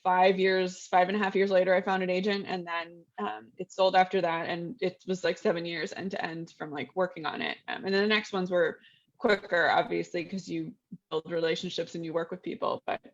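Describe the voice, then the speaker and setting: Low-voiced reflective author woman, muffled. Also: fairly even intonation, a little fast. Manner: reflective, easygoing, laughs at herself. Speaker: author guest. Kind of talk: casual video chat. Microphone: video call.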